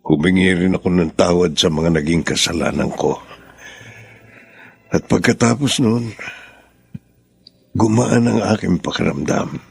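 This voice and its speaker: Low gravelly dad, slow and gentle, sorry for his past. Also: faint music behind, exaggerated voice. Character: remorseful gentle father of grown son. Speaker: father. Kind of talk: radio drama acting.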